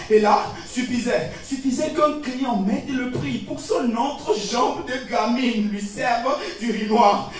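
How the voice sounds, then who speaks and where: A man performing slam poetry in a dramatic, solemn, declamatory way with deliberate pauses. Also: high voice, distant mic. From Togo. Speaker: slam poet competing on stage. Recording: echoey stage recording from afar, music behind.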